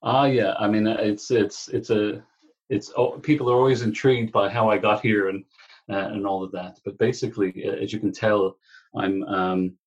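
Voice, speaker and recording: A man's voice: on the low side, fairly even intonation, normal speed. A man, a guest chatting casually and rambling with many restarts. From Texas. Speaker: guest, business coach. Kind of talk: casual interview chat. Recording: dull lo-fi remote line.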